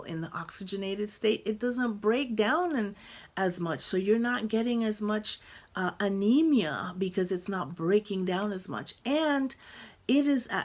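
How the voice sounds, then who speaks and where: Woman, doctor-advocate, unhurried and hesitant delivery, lower voice. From Guyana. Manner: unhurried, thinks aloud with frequent ums and restarts. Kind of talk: informal medical explainer.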